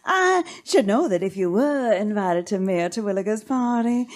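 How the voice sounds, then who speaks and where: A woman's voice: medium pitch, animated, normal speed, close to an everyday voice. A woman playing a coy, flirtatious, pushy sweetheart in a 1940s-style radio comedy. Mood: coyly insistent. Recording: roomy rehearsal recording.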